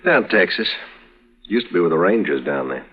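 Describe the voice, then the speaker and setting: An actor playing a smooth-talking, wounded drifter with an easy drawl, on a dull old radio recording. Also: male voice, low voice, lively intonation, normal speed. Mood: easygoing.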